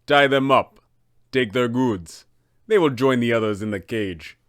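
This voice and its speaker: Guy doing a menacing pirate captain, bossy and brutish, clear voice, dry sound. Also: mid-range voice, a little slow, a bit bright, no room sound, close to an everyday voice. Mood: barking orders. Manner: commanding, threatening.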